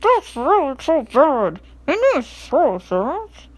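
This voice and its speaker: A woman's voice: high-pitched, big pitch swings, slow, no echo, pitched above the performer's own voice, exaggerated voice. A woman voicing a plucky young male steam engine from a storybook, with plaintive, shivering exclamations. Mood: sad, indignant. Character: plucky young male little steam engine, determined, easily put-upon.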